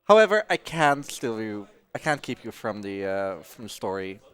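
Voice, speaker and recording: A man's voice: on the low side, very animated, normal speed, fairly bright tone. A man giving casual, joking live commentary as a speedrunner, chatty throughout.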